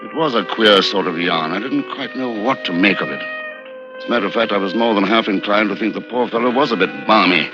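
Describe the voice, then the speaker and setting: Man playing a low-voiced, unflappable British gentleman. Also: fast, close to an everyday voice. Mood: grave, narrating. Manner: understated, dry, courteous. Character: unflappable, polite, sceptical British gentleman, narrating his adventure. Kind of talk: 1950s radio drama acting and narration. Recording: muffled 1950s radio recording, music behind.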